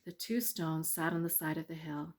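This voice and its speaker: A woman narrating a children's bedtime story in a measured, expressive read-aloud manner. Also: low voice.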